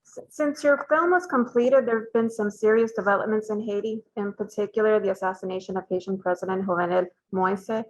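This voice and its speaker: A woman hosting a film Q&A, asking long, measured questions. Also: medium pitch. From of Cuban descent. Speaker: festival founder hosting a Q&A. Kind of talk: interview questions. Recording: dull lo-fi sound.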